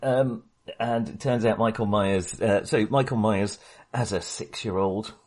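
A man's voice: fairly low pitch, measured pace, darkish tone. A man co-hosting a casual film discussion, talking in a hesitant, wry and opinionated way. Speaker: podcast co-host.

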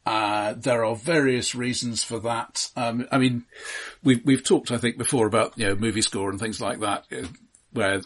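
A man co-hosting a podcast in casual film discussion, explaining things in a halting, analytical way. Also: medium pitch, fairly even intonation. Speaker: podcast co-host.

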